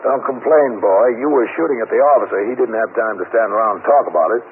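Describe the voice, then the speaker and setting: An actor playing a calm, businesslike police captain who speaks and narrates with matter-of-fact authority in a 1950s radio drama. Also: male voice, lowish voice, fast, close to an everyday voice. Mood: matter-of-fact, firm. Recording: scratchy, narrow-band 1950s radio recording.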